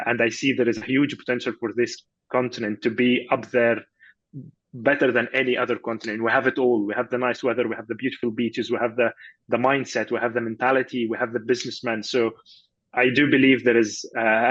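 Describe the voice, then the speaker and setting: A man's voice: mid-range voice, level tone, brisk, fairly bright tone, dry recording, muffled recording. A man from Egypt giving a thank-you speech on an online business call, hesitant and full of fillers and repeated words. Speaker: guest speaker. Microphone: video call.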